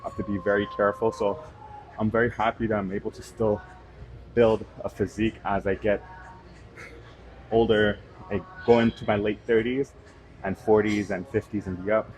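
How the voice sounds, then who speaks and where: A man's voice: lowish voice, moderate pace, long pauses, rough recording. A man in his thirties, a fitness vlogger, talking casually in a gym with plenty of fillers. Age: 30s. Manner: relaxed, rambling, with fillers. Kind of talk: casual vlog chat. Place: gym, indoors.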